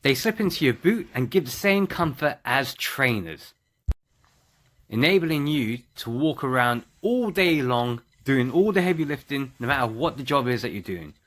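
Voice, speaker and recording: A man giving an upbeat product pitch, salesy and talking straight to the listeners. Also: lively intonation, normal speed, fairly bright tone, slight background noise. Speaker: ad presenter.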